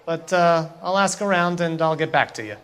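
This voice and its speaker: An actor playing a calm, menacing mobster boss who talks down to a young detective as "kid". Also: male voice, fairly high pitch, moderate pace, clear, resonant tone. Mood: casual. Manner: condescending, calls the detective kid.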